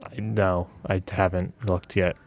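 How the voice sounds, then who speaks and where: Low-voiced, hesitant man playing a game. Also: little pitch movement, a little slow. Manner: hesitant, rambling. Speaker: player in a tabletop game podcast. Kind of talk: casual improvised game chat.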